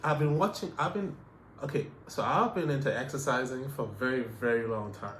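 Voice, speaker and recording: A man from Canada co-hosts a casual chat and talks in a reflective way, circling back with repeats and fillers. His voice sits at an ordinary pitch. The recording sounds roomy and has a faint hiss. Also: fairly quick, a bit bright. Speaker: podcast co-host.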